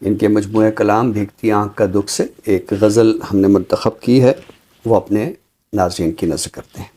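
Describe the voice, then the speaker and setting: A Pakistani man speaking formally and deliberately as a literary commentator, with emphatic, repeated phrasing. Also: lowish voice, steady tone, warm tone. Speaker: literary commentator on a talk show. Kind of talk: measured, formal literary discussion and poetry recital.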